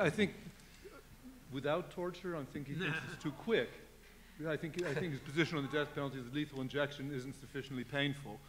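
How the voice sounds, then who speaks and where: A man speaking on a legal panel, rambling and joking, with lots of filler sounds. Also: medium pitch. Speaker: conference panelist. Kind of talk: panel discussion.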